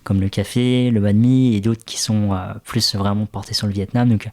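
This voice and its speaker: A French man with a fairly low voice talks through his story in a relaxed interview. He pauses often, filling gaps with hesitant sounds as he thinks out loud. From France. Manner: hesitant, many "euh" fillers, thoughtful. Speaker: interview guest, restaurant co-founder. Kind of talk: casual interview talk.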